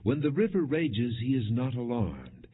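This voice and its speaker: A man reading scripture as an audiobook narrator in a solemn, measured, declamatory manner. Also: mid-range voice, wildly swooping pitch, slightly rough. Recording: muffled, narrow phone-like sound.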